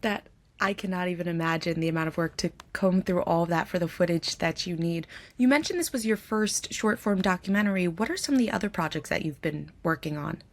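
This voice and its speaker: Supportive woman interviewer, slightly low voice. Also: fairly quick, few pauses. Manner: supportive, affirming, articulate. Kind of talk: conversational film festival interview.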